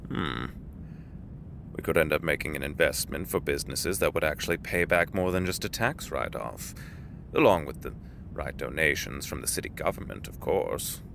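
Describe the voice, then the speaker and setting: Deep, gravelly, courteous executive. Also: male voice, a bit bright, slight background noise, exaggerated voice. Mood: considering, courteous. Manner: formal, genteel, deliberate phrasing. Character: courteous older male executive, avuncular, formal, measured.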